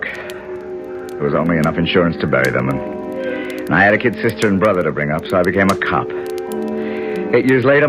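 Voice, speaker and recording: A tough American ex-cop in a vintage radio mystery. His voice is very low, his delivery clipped, wry and hard-boiled, with music clearly playing underneath. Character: tough, wry cop-turned-lawyer, loyal right-hand man of a senator. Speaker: narrator and character in a radio mystery. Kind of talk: 1970s American radio drama acting.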